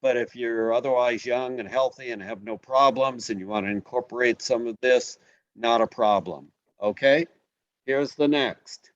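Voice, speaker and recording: A man presenting food photos in a casual online class, relaxed and halting with many fillers. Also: medium pitch, no room sound, muffled recording. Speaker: host presenting slides.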